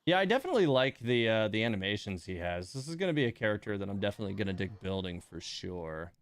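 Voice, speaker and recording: A man's voice: lowish voice, very animated, quick pace. A man giving casual, rambling live stream commentary on a game, thinking aloud as he plays.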